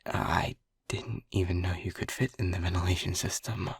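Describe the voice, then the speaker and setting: A man's voice: sits low, fairly even intonation, normal speed, gravelly, slightly rough, no echo, clean studio sound, full character voice. A man playing a stammering, whispering lab technician. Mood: frightened whisper. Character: anxious young male lab technician, protective. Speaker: audio roleplay voice actor. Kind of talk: audio roleplay acting.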